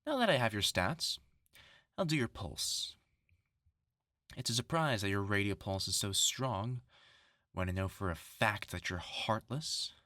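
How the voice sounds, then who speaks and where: Man acting a menacing, sarcastic doctor, swinging pitch. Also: bright, forceful tone, dry recording, clean studio sound, heavily acted. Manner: taunting, mock-caring, swinging into angry swearing. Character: vengeful, sarcastic male doctor turned on his former research partner. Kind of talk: horror audio roleplay, second-person.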